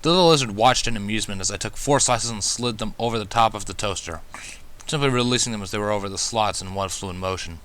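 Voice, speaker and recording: A man reading fan fiction aloud as an expressive first-person narrator, with animated, self-mocking asides. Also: lowish voice, quick pace.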